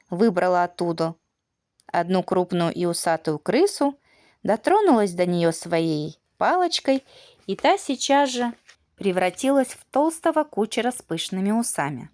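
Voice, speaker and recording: A woman reads a fairy tale for children with a very lively, swooping intonation. Her delivery is measured and expressive, like a bedtime story, at a medium pitch. The recording is dry and close, with very little room sound. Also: dry recording. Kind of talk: scripted audiobook narration of a children's fairy tale.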